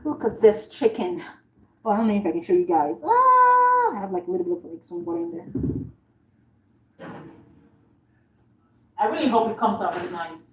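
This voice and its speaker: A woman's voice: mid-range voice, big pitch swings, normal speed, pauses often, a bit bright. A woman from Nigeria, an author hosting a casual livestream, chatting and rambling to viewers while she cooks at home. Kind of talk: casual live-stream chat with viewers. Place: home kitchen. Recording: roomy, distant, running tap water.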